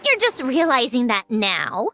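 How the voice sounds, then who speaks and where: A haughty young female genius from a video game. She is high-pitched and very expressive, with dry, lecturing delivery and music behind her. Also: measured pace, exaggerated voice. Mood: brisk, flustered. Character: proud, aloof, sharp-tongued young female genius. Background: background music.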